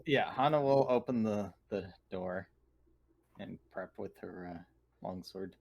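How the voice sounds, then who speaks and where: A man's voice: deep voice, slow pace, creaky, a little mellow. A man talking casually as a player in a tabletop roleplaying game, over a dull lo-fi voice connection. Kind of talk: casual chat.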